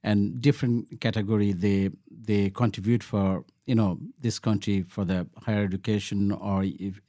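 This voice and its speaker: A man from Bangladesh speaks as an interview guest, his voice warm and relaxed in tone. He talks haltingly, repeating words and filling pauses, and the sound is dry and close. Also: fairly low pitch, no echo. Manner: halting, many restarts and fillers. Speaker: local councillor, guest. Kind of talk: interview answers.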